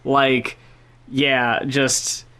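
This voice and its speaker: A woman's voice: a bass voice, little pitch movement, drawn-out words, fairly bright tone, clear, resonant tone. A woman co-hosting a podcast, chatting casually, blunt, swearing freely and laughing often. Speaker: podcast co-host. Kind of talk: casual chat about music.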